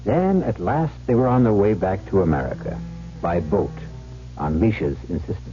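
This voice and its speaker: A man's voice: low-pitched, animated, moderate pace. A man narrating a scripted radio drama in a storytelling style. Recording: dull old radio broadcast, loud music.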